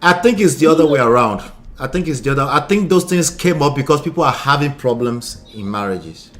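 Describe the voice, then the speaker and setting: A man's voice: medium pitch, a little fast, faint music behind. A middle-aged man from Nigeria with an accent mixing Nigerian and American tones, a relationship coach chatting casually and laughing often. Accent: Nigerian, mixed with American. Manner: animated, laughs often, repeats words. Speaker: relationship coach and show host. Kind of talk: casual podcast chat.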